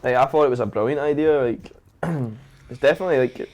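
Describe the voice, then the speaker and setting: A man's voice: mid-range voice, fast, darkish tone. A man chatting casually and keenly as a podcast guest. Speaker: podcast guest, singer-songwriter. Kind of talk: casual chat.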